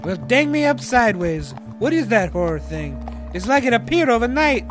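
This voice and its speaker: A man acts out an old male groundskeeper for a comic audio play, pitched extremely high. He is a jokey, slangy, folksy old fart, with faint music behind him. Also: very animated, moderate pace. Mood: alarmed. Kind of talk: comedic audio drama.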